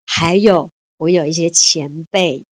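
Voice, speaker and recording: A woman's voice: deep voice, unhurried, no echo. A woman in her fifties chatting cheerfully as an interview guest, laughing often and repeating words. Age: 50s. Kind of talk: casual interview chat.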